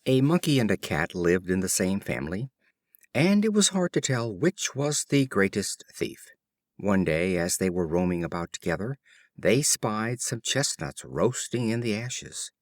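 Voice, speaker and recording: A man reading classic fables as an audiobook narrator, in an expressive and deliberate storytelling style. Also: on the low side, dry recording, studio quality. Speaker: audiobook reader.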